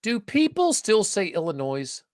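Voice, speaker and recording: Animated joking male host. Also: high-pitched, unhurried, no echo, studio quality. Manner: chatty, jokey, animated. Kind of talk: casual livestream interview chat. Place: Atlanta area.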